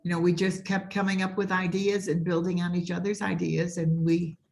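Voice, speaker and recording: A woman's voice: lowish voice, little pitch movement, normal speed, creaky, a little mellow. An older woman speaking casually and reflectively as a participant in a group discussion. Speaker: workshop participant.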